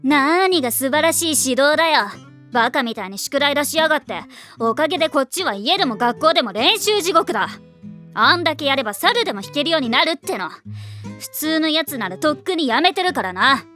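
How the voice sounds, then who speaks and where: A woman voicing a brash, cocky tomboyish teenage girl with rough, slangy, boastful speech in an anime. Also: high-pitched, lively intonation, fairly quick, sharp tone, faint music behind. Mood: taunting, boastful. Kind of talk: anime voice acting.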